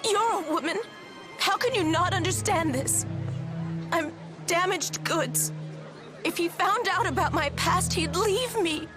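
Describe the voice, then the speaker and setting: A woman's voice: very high voice, unhurried, music in the background, crowd noise. An actress playing an anxious young woman in a video game, pleading and defensive. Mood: anguished. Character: anxious young woman, engaged, hiding a shameful past.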